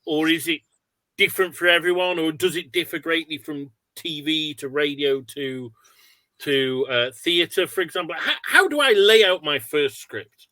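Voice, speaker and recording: A man's voice: a little high, moderate pace, bright, forceful tone, no echo. A man hosting a casual online interview, asking wordy, hesitant, self-deprecating questions. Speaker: webinar host and interviewer.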